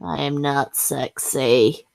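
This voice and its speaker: A woman with a voice worn hoarse talks to her stream in a low, gravelly register. She moves slowly and haltingly, slipping into whispers as she sorts things out. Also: steady tone. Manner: halting, whispery asides, laughs often. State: hoarse, has lost her voice. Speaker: streamer hosting a supporter stream. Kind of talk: casual chat.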